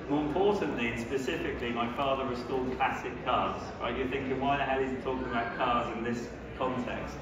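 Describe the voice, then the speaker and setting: A man's voice: mid-range voice, muffled recording. A man in his thirties from France presents a seminar, chatty and joking with the audience. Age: 30s. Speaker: seminar speaker, company founder. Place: large exhibition hall. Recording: echoey hall, speaker far from mic.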